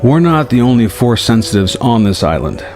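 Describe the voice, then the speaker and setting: A man voicing a composed older male mentor in a story, explaining things in a measured way. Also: medium pitch, very animated, darkish tone. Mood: calm, grave. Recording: music behind the voice.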